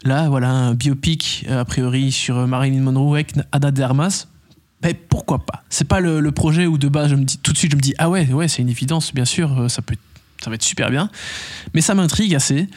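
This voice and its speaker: A man's voice: mid-range voice, brisk, darkish tone. A man who works as a film journalist, co-hosting a casual film chat, talking with wry asides and thinking aloud. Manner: chatty, many fillers and restarts, wry asides. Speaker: film podcast co-host, film journalist. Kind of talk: casual chat about upcoming films.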